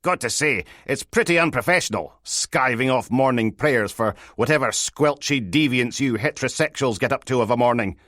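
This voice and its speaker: Man doing a loud, sleazy, brash policewoman. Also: mid-range voice, moderate pace, few pauses, dry recording, studio quality, pitched above the performer's own voice, natural voice. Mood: mocking. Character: crude, sardonic, brash female senior police officer.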